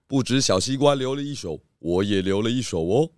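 A man playing a confident, composed pig detective with a measured, instructive manner in a children's audio drama. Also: fairly low pitch, lively intonation, no echo, clean studio sound, natural voice. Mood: smug.

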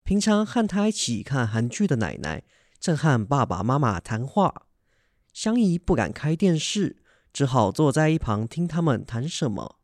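A man's voice: medium pitch, very animated, moderate pace, a little hiss or hum. A man narrating a family radio drama in a scripted storytelling style.

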